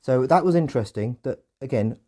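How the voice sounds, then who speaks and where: A man's voice: medium pitch, lively intonation, brisk, warm tone. A man hosting a podcast in casual discussion, reflective and often correcting himself as he goes. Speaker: podcast host.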